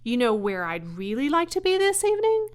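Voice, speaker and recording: A woman's voice: high-pitched, big pitch swings, brisk, pitched above the performer's own voice. A woman voicing a naive, boastful young male pig in a children's storybook, earnest and childlike. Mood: eager.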